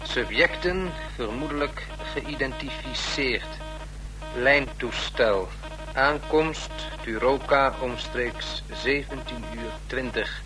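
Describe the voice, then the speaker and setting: An actor playing an impersonal agent who reports formally in staccato telegram phrases in a radio play. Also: male voice, medium pitch, slow pace, over music, natural voice, rough recording. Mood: matter-of-fact.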